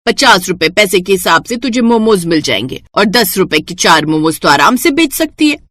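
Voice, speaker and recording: Woman as practical village auntie, animated. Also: mid-range voice, moderate pace. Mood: frank, encouraging. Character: practical, advising village auntie next door.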